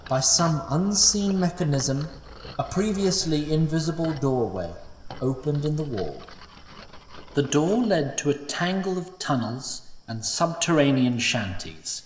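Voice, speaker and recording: Expressive male fantasy narrator. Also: fairly high pitch, a little hiss or hum. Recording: roomy, slightly distant mic.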